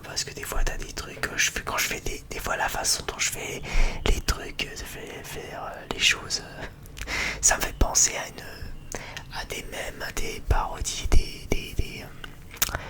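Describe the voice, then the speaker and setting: Whispering ASMR creator, rambling and hesitant. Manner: rambling, hesitant, repeats phrases. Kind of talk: whispered ASMR chit-chat.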